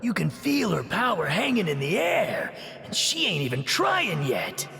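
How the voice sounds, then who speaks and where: An actor voicing a crazed, taunting spirit in a video game, with a drawling, excitable delivery. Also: medium pitch, unhurried, creaky, big-room sound, heavily acted. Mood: excited menace. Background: game music.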